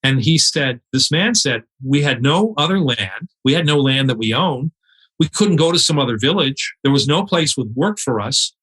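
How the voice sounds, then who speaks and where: A man's voice: mid-range voice, expressive, moderate pace, a bit bright, husky, poor audio. A man guest on a podcast interview, telling stories earnestly and quoting other people with feeling, often restarting his sentences. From United States. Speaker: podcast guest, charity cyclist. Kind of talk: conversational interview storytelling. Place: home in New York City.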